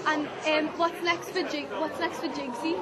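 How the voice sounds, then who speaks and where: A woman's voice: high-pitched, fairly even intonation, normal speed. A young woman, interviewing in a casual way, saying "yeah" and "like" a lot. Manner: eager, lots of "yeah" and "like". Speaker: interviewer, host. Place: theatre venue, crowded. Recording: busy crowd in background.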